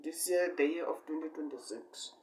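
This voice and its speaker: The recording is distant, with the microphone set away from the speaker. He is a young man with a fairly high voice for a male. He talks in an improvised, rambling way, halting between short phrases, repeating himself and leaning hard on certain words. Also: a little mellow, slight background noise. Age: 20s. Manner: halting short phrases, repetitive, emphatic bursts. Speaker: amateur online speaker.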